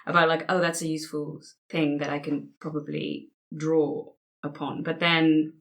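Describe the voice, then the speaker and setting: Low-voiced woman in casual conversation. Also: level tone, moderate pace. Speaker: tabletop roleplay podcast player. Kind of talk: casual group discussion.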